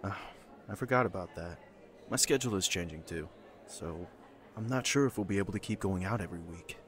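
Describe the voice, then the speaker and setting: A man playing a calm, courteous young castle guard in an audio drama, casual and a little hesitant. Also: deep voice, fairly quick. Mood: gentle, hesitant.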